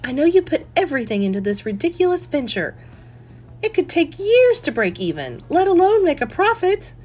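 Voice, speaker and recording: A woman's voice: high-pitched, big pitch swings, normal speed, a little mellow, faint music behind, pitched above the performer's own voice. A woman reading a story, voicing a critical older mother who nags in a plaintive, huffy manner.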